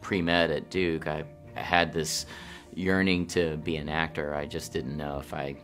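A man's voice: extremely low pitch, level tone, unhurried, fairly bright tone, faint music behind. A man, an actor, speaking earnestly in a studio interview. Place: studio, colored backdrop.

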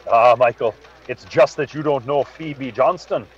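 Man playing an excitable Irish sidekick, lo-fi. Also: mid-range voice, a little slow, lightly acted. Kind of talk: radio mystery play acting. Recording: dull lo-fi video-call sound.

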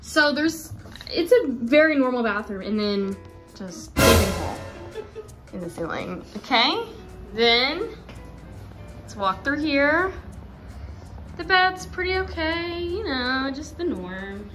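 Casting: teen woman vlogger, eighteen, bright-ish voice, dry sarcasm, music under her. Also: high-pitched, slow, long pauses. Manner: sarcastic, deadpan, swearing. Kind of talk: casual vlog chat. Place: car, then small hotel room. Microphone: phone held at arm's length.

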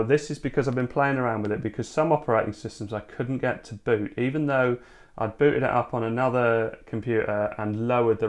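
A man with a fairly low voice talks through a tech demo at his dining table. His delivery is relaxed and unscripted, full of "uh" and small restarts as he clicks along. The sound comes from a camera mic a little way off. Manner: relaxed, thinking aloud with frequent fillers. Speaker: tech video host. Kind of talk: casual hands-on demo narration. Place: home room, at a dining table.

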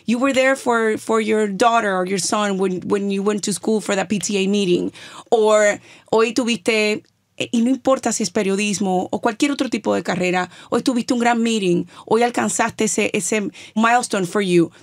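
A woman's voice: medium pitch, normal speed, no room sound, studio quality. A middle-aged woman from the Dominican Republic with a Dominican accent, speaking earnestly and encouragingly as a podcast guest, repeating phrases for emphasis. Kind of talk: podcast interview, mixing Spanish and English.

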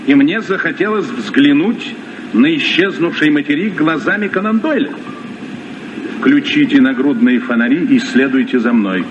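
A man's voice: fairly high pitch, animated, moderate pace, close to an everyday voice. Man as authoritative captain, muffled noisy recording. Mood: commanding. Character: authoritative submarine captain acting as guide. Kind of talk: Soviet radio drama acting.

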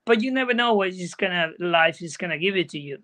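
Venezuelan guy, spanish accent, bright edgy tone, earnest broken english on a laptop call. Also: high-pitched, expressive, fast talker. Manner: earnest, plain-spoken non-native phrasing. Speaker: guest jockey. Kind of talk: video call interview. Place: indoor room at home. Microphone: video call from laptop.

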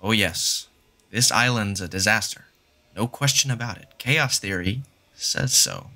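A man's voice: on the low side, unhurried, bright, forceful tone, exaggerated voice. A man voicing a confident, sardonic male mathematician who explains things patiently and emphatically in a fan audio drama. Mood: lecturing. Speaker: fan voice actor.

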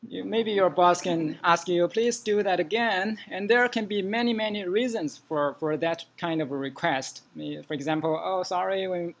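A young man from China with a Chinese accent, giving a modest, halting technical talk at a user group meeting. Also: a little high, creaky. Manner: modest, hesitant restarts. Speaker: conference speaker. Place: meeting room.

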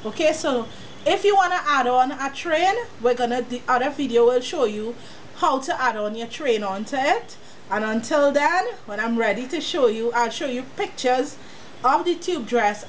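A woman's voice: high voice, lively intonation, normal speed, slight background noise, rough recording. Chatty woman with a cold teaching sewing. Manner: chatty, talks straight to the viewer. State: has a cold, sniffling. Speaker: sewing tutorial host. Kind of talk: casual step-by-step sewing tutorial.

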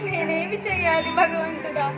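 A woman's voice: very high-pitched, fairly even intonation, moderate pace. A woman voicing a destitute, pleading woman in an audio drama, crying and begging as she talks. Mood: despairing, wailing. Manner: tearful, pleading, wailing. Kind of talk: melodramatic audio drama acting. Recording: background music under voice.

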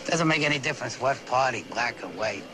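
An actor playing a folksy, distracted police detective in a 1970s TV crime drama, talking in a halting, informal ramble. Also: male voice, medium pitch, lively intonation, over music, close to an everyday voice. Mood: musing.

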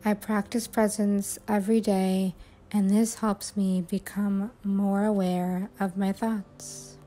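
A woman guiding a sleep hypnosis and meditation in a soothing, unhurried, measured way. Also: medium pitch, level tone, warm tone, slight background noise. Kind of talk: guided sleep hypnosis, affirmations. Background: music.

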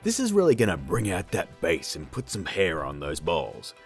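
A man in his thirties with a husky, mid-pitched voice presents a how-to video. His delivery swings widely in pitch, informal and jokey, with stress on key words. Faint music plays behind him. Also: wildly swooping pitch, normal speed. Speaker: video tutorial host. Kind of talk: casual software tutorial narration.

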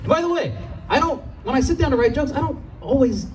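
A young man from Los Angeles performing a stand-up comedy set on an outdoor stage with a handheld mic, animated and jumping into high voices for the people he quotes. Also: a little fast, background noise.

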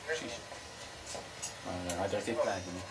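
A man's voice: low voice, a little slow, loud music behind the voice. A man making brief, casual remarks during an amateur radio session. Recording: very noisy recording with clicks.

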